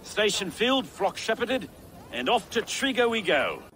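An actor playing an earnest, courteous medieval English reeve in a video game, with old-fashioned polite phrasing. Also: male voice, high-pitched, sing-song, normal speed, bright, forceful tone, a little hiss or hum, exaggerated voice. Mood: genial, brisk. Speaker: sheriff. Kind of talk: dramatic video game cutscene acting.

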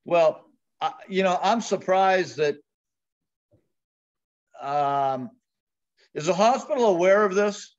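A man with a fairly high voice talks as a committee member on a muffled video call. His questioning delivery is halting, with repeated, stammered starts. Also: lively intonation. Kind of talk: questioning meeting discussion.

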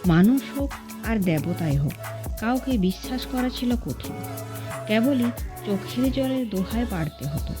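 A woman narrating a classic short story as an audiobook reader, in scripted storytelling style. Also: on the high side.

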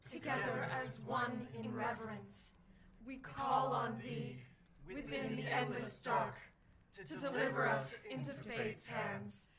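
Voice actors playing frightened villagers chanting a slow prayer together, heard from afar in an echoing room. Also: female voice, fairly high pitch, fairly even intonation, over a noisy crowd. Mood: reverent. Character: villagers praying in chorus. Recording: echoey, distant group chant.

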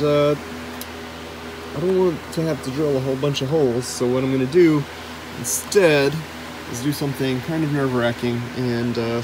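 A man casually narrating his hands-on DIY work in a workshop, thinking aloud as he goes. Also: mid-range voice. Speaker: maker narrating a DIY build. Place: indoor cluttered home workshop. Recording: noisy workshop camera recording.

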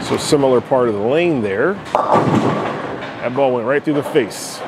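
A man talks through a bowling ball test in a loose, explanatory way, dropping "right?" and fillers as he goes. His voice sits at an ordinary middle pitch. The clatter and hum of a bowling alley carry clearly behind him. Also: unhurried. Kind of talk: casual product demo commentary.

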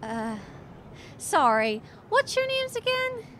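A woman's voice: extremely high pitch, big pitch swings, slow, fairly bright tone, full character voice. A woman playing a curious, kind-hearted young travelling companion in an audio drama, informal and full of questions. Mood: coaxing. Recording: faint background noise.

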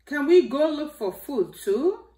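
Storybook bear cub, young and playful, played by a woman, high sing-song voice, roomy sound. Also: very animated, unhurried, dark tone. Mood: playful, eager. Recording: roomy home echo.

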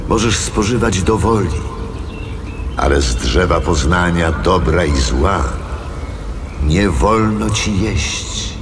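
An actor playing God, a solemn and commanding creator, with grave, measured authority in an audio Bible drama. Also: male voice, low voice, noisy background. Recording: long cavernous reverb, music underneath.

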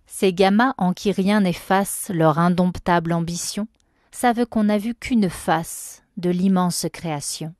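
A woman reciting a literary poem with measured, expressive phrasing. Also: fairly low pitch, no echo, studio quality. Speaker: poetry reader.

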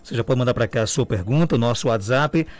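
A man with a Brazilian accent hosting a radio show from the studio, announcing segments in a brisk way. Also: medium pitch. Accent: Brazilian Portuguese. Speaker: radio host. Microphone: studio mic with headphones.

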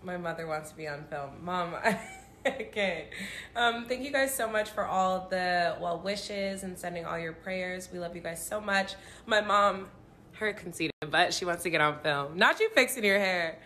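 A young woman talks into her phone at arm's length, bubbly and playful with a bright, forceful tone. A faint hiss of background noise runs under her voice. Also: fairly low pitch, unhurried. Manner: bubbly, playful, drifting into soft confiding tones. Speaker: social media personality. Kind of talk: casual selfie video update. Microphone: phone camera held at arm's length.

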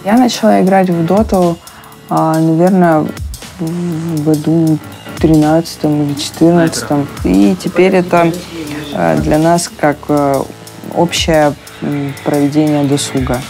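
A woman's voice: low voice, steady tone, unhurried, vocal fry, mellow. A woman in her twenties, a musician, speaking hesitantly with many fillers in a documentary interview. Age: 20s. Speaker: local musician. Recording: music playing under the voice.

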